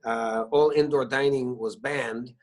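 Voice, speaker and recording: A man's voice: mid-range voice, steady tone, unhurried. A middle-aged man born in Israel whose first language is Hebrew, talking at home over a video call, with a lot of restarts and fillers as he tells stories. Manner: hesitant, many restarts and fillers, warm storytelling. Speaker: singer-songwriter guest. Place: his living room at home.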